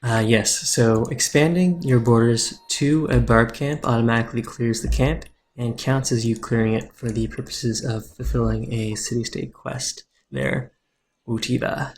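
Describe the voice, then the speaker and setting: A man with a soothing voice streaming a strategy game live, calmly explaining his moves and answering chat. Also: medium pitch, unhurried, slight background noise.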